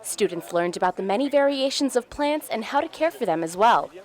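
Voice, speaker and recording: A woman's voice: a little high, lively intonation, fairly quick. A young woman delivering scripted TV news in a presentational broadcast manner, as a reporter and anchor.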